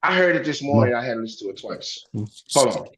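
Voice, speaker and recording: A hoarse man hosting reaction commentary, talking in an animated, preachy way. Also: medium pitch, fast, echoey room. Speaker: commentary host.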